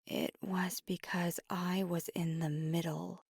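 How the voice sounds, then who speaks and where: A woman voicing a gentle, remorseful vampire girlfriend in an intimate ASMR roleplay, speaking in a halting, breathy whisper close to the microphone. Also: lowish voice, flat delivery, no room sound, full character voice. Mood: wistful, vulnerable. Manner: halting, hushed, mostly whispered confession. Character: gentle, remorseful female vampire girlfriend, anxious, tender confessor. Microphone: close, dry microphone.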